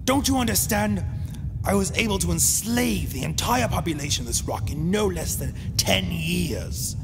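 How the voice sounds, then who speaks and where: A man playing an ancient, scornful alien telepath tyrant in a sci-fi audio drama, speaking with slow theatrical gloating and hissed whispers. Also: high-pitched, animated, gravelly. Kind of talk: science fiction audio drama acting. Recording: long hall reverb with music behind.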